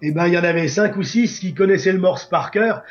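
A man's voice: high-pitched, fairly quick, faint music behind. A man in a casual interview as a co-organiser, readily chiming in with additions and corrections. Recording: dull lo-fi recording.

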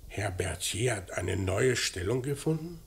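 An actor playing a probing, businesslike police inspector in a mid-century radio crime drama, asking short, clipped questions. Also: male voice, fairly low pitch, expressive, measured pace, gravelly. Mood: probing, insistent. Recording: noisy old radio drama recording.

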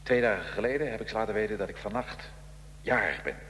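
Deep-voiced, wry Italian revolutionary prisoner. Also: male voice, lively intonation, quick pace, fairly bright tone, a little hiss or hum. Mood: quiet, dry. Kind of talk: radio drama acting, interview-like storytelling.

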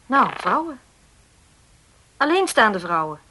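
A young woman in a radio play, wary and indignant. Her voice is high and bright, and she answers in a curt, challenging way. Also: big pitch swings, slow, slightly rough, full character voice. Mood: irritated.

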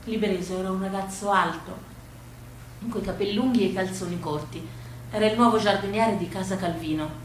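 A woman's voice: medium pitch, fairly even intonation, brisk. A woman telling stories as a theatrical monologue from the stage. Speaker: stage storyteller. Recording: echoey room with faint background noise.

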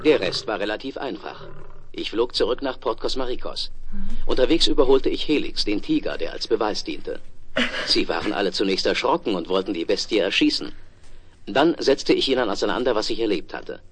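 An actor playing a confident, wry space explorer in a 1970s German science-fiction radio play, with a theatrical, dryly ironic delivery. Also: male voice, fairly low pitch, animated, brisk, slight background noise, natural voice. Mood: assured, reporting.

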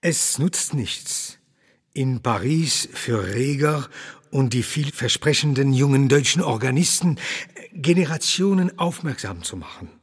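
Husky professor type, earnest alsatian organist doctor, radio play, really lively pitch. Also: male voice, measured pace, in a large hall. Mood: earnest, lecturing. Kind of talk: German radio play dialogue.

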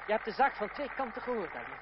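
An actor playing a proud, idealistic young gentleman turned stage player in an old radio drama, earnest and pointed. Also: high voice, very animated, fast. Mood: accusing. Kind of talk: old-fashioned Dutch radio drama acting. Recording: noisy, muffled old radio play recording.

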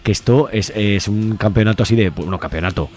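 A man's voice: fairly low pitch, brisk, darkish tone. A man hosting a radio interview in an informal, jokey way, often checking in with question tags. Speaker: radio show host.

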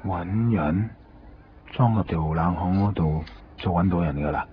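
An actor with a Hong Kong accent playing a cocky, streetwise hustler and loyal friend in a radio drama. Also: male voice, low voice, a little slow, a little hiss or hum. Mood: nosy, surprised. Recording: dull 1990s radio recording, faint music.

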